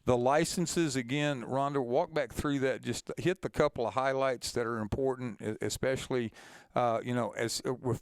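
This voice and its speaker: A man's voice: mid-range voice, smooth, no room sound. A man co-hosting an informational webinar, explaining procedures in a conversational way with repeated and restarted phrases.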